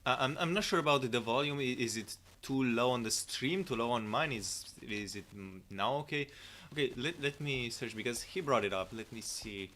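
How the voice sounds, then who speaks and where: Male streamer, hesitant and halting, forceful bright tone, quiet cheap mic with light hiss. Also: mid-range voice. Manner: hesitant, many fillers and restarts. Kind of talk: casual live-stream commentary. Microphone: cheap low-volume mic. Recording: faint static hiss.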